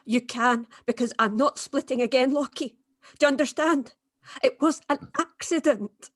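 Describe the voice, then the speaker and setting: A woman's voice: sits high, moderate pace, no echo, studio quality. An actress playing a tough, pragmatic, wry woman accomplice, plain-spoken and firm, in a dramatic script read-through. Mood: firm, urgent.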